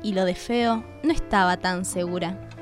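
A woman's voice: lowish voice, steady tone, moderate pace, soft background music. A woman with an Argentine accent reading scripted narration for a radio drama.

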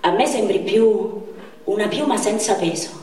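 A woman's voice: medium pitch, normal speed, dark tone, distant mic, a little hiss or hum, lightly acted. A woman playing a caring companion who coaxes a friend to eat and teases him with a run of jokes, in a stage drama played on radio. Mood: tender. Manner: coaxing, then rattling off jokes. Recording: very echoey stage heard from afar.

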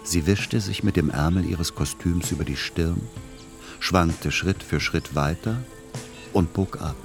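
Patient sad son narrating a radio play, deep voice, gentle n measured, music under it. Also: male voice, gravelly. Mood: measured, melancholy. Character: devoted, patient grown son, melancholy narrator.

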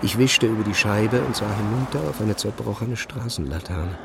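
An actor playing a brooding physicist who recounts an uncanny night in measured, restrained first-person narration for an audio drama. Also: male voice, fairly low pitch, creaky, background noise. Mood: sober, subdued.

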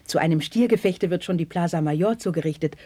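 A frivolous cheerful court lady, lowish breathy voice, 1950s classical radio drama. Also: fairly quick, mellow. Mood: eager, blithe.

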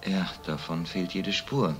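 A deep-voiced, reserved gentleman in a radio crime play, the well-to-do son of a murdered father. He speaks soberly and with measured, subdued matter-of-factness. Faint music sits behind him. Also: fairly even intonation. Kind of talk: German radio crime drama dialogue.

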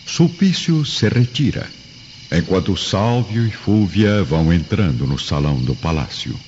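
A man's voice: deep voice, lively intonation, unhurried, darkish tone, muffled recording, a little hiss or hum. A man with a Brazilian accent narrating a radio drama in a scripted, theatrical style.

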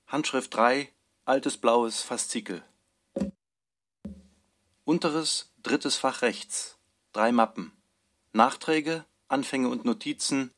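A man's voice: mid-range voice, a bit bright. A man reading a scripted archive inventory in clipped, list-like phrases for a radio production.

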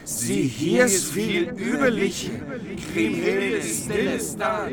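A man's voice: high voice, big pitch swings, takes it slowly, a loud crowd. A man declaiming old medieval verse in a chanting style for a radio play. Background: loud music.

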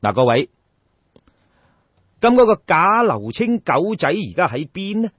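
Husky, animated Cantonese male storyteller. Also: high-pitched, a little slow, dry recording, highs cut off. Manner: colloquial, theatrical, addresses listeners directly. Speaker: storyteller, audiobook narrator. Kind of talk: traditional Cantonese oral storytelling, dramatic narration.

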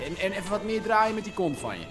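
An actor playing a testy young strip-club choreographer in a radio drama, blunt and crude, with an Amsterdam accent. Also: male voice, sits high, big pitch swings, fast talker. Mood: instructing. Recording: music playing behind the voice.

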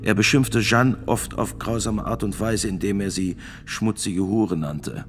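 An actor playing a grave priest and notary of sixty-six, testifying in a radio drama with gravelly, deliberate, formal speech. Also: male voice, lowish voice, a little hiss or hum. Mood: grave, bitter. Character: sixty-six-year-old male priest and court notary, grave witness.

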